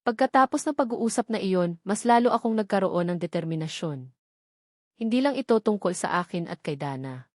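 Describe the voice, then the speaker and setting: A woman's voice: mid-range voice, animated, brisk, no echo. Female narrator for scripted first-person drama, close dry recording. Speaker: story narrator.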